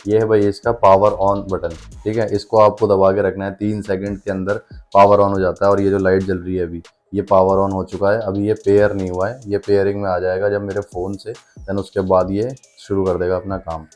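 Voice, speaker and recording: Man, low, even-toned casual demonstrator. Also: normal speed, few pauses, soft background music. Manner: informal, chatty, addresses viewers as brother. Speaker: motorcycle vlogger and product reviewer. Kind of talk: casual hands-on product demonstration.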